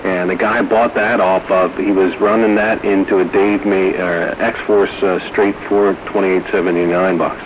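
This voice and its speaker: A deep-voiced man chats over a CB radio with clear static and noise around him. His talk is casual, laid-back and full of slang, like an operator swapping stories. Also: little pitch movement, unhurried, hardly any pauses, lo-fi sound. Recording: noisy, staticky CB radio transmission.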